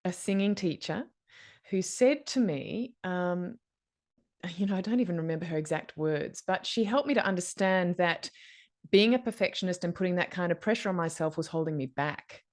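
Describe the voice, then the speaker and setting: A woman's voice: fairly low pitch, animated, moderate pace. A woman hosting a podcast interview, speaking conversationally and asking thoughtful, probing questions. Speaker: podcast host.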